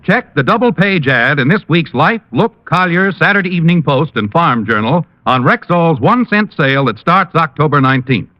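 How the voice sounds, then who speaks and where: Male radio announcer, highish voice, very animated pitch, formal, polished, muffled vintage broadcast. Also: normal speed, hardly any pauses. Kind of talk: 1950s radio credits and promo announcing. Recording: muffled old radio recording with music.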